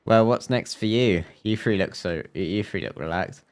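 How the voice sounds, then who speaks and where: A man's voice: fairly low pitch, moderate pace, clear, resonant tone. A man livestreaming a game, reading dialogue aloud and commenting jokingly, sometimes stumbling over the lines. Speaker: live streamer. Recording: game music behind.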